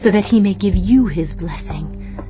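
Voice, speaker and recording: Actress as scheming mother, medium pitch, insistent, conspiratorial, scripture audio drama, soft music behind. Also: moderate pace, lightly acted. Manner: urgent, conspiratorial. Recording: dull muffled audio with music.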